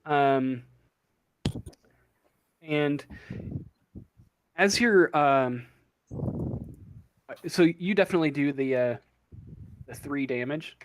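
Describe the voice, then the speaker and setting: A man narrating an improvised tabletop game as game master, haltingly searching for his words. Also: fairly high pitch, a little hiss or hum, low-quality recording.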